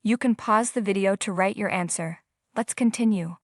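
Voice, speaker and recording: A woman's voice: medium pitch, dry recording, studio quality. Woman teacher prompting learners. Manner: slow, prompting questions to the viewer. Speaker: language teacher. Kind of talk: scripted English instruction.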